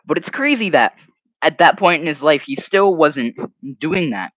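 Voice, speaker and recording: A man's voice: fairly high pitch, moderate pace, no echo. A young man whose first language is Hebrew, chatting casually on a livestream and reading comments, rambling and sometimes trailing off into mumbles. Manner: rambling, animated, drops to mumbles. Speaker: livestream host. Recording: dry, slightly muffled home mic.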